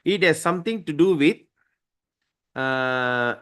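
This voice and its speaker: A man's voice: fairly high pitch, lively intonation, slow pace, fairly bright tone. A man teaching a scripture study class, emphatic and often asking his listeners "right?".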